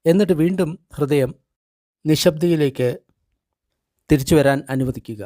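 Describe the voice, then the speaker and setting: A man guiding a meditation, reading instructions gently and deliberately in short phrases with pauses. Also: fairly high pitch, no room sound, studio quality. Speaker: meditation guide. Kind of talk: guided meditation narration, translated text read aloud.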